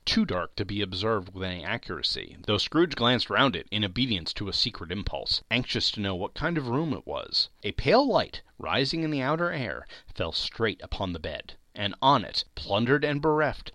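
A man's voice: fairly low pitch, sing-song, moderate pace, few pauses, sharp tone, no echo. A man narrating a classic story as a dramatic reading, theatrical and emphatic. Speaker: podcast host, narrator.

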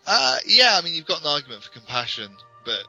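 A man's voice: a little high, very animated, fast talker, pushed and bright. A British man co-hosting a podcast, talking casually and frankly as an opinionated fan. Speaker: podcast co-host. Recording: dull lo-fi audio with music.

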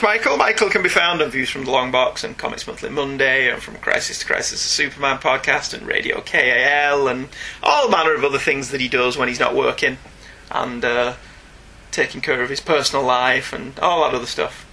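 A man's voice: mid-range voice, a little fast, creaky, pushed and bright. A chatty, enthusiastic man co-hosting a podcast, talking casually and reading out listener emails. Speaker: podcast co-host. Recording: faint background noise.

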